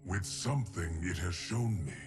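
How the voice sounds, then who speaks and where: A man playing a dutiful ancient guardian being in a video game, speaking gravely and formally. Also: low-pitched, normal speed, lightly acted. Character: solemn, dutiful ancient male guardian construct. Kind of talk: scripted video game cutscene acting. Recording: music behind the voice.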